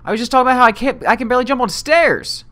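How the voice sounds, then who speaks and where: A man doing casual, self-deprecating let's play commentary in an excitable manner. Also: very high-pitched, racing. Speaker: video game let's player.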